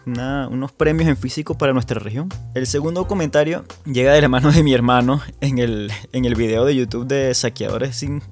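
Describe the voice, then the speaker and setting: A man's voice: medium pitch, brisk, hardly any pauses, a little mellow, soft background music. A man with a Venezuelan accent hosting a tabletop gaming podcast in a chatty, casual way, often restarting and repeating words. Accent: Venezuelan Spanish. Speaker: podcast host.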